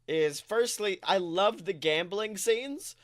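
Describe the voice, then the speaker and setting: A man co-hosting a casual film chat, talking in an excitable, slangy way and swearing freely. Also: high-pitched, slow pace, dry recording, studio quality. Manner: self-described nerd, animated. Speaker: podcast co-host.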